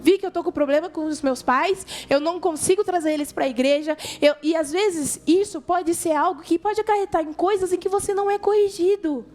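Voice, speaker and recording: A Brazilian woman with a notably high voice gives an informal youth sermon. She talks colloquially and conversationally, addressing listeners directly and voicing dialogue as she goes, with music faintly behind her. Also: fairly quick, few pauses, a little mellow. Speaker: youth church preacher.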